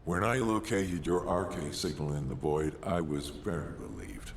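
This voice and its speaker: An actor playing a solemn, wise male guide of warriors in a video game, speaking in a grave, measured, formal way. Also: extremely low pitch, animated, vocal fry, exaggerated voice. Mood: relieved, solemn. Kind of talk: scripted video game dialogue. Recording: roomy long reverb, faint noise.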